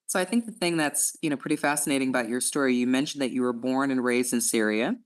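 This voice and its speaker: A woman's voice: extremely low pitch, quick pace. A woman hosting a podcast interview, talking in a chatty, encouraging way with lots of fillers. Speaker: podcast host. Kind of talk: interview host.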